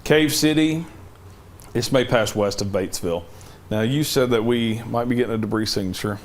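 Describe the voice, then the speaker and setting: A man's voice: mid-range voice, moderate pace. Man giving urgent live storm warnings. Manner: urgent, directive safety warnings. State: losing his voice, cough drop in mouth. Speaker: TV meteorologist. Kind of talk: live severe weather coverage.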